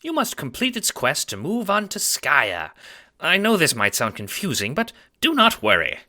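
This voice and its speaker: A sweet old clownish grandmother ghost, acted out by a man, pitched high and swooping freely. The delivery is bright, theatrical and folksy, full of doting endearments, as in an audio drama. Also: huge pitch swings, normal speed, heavily acted. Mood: grand, encouraging. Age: older. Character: kindly, playful elderly grandmother spirit, half clown. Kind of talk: audio drama character acting.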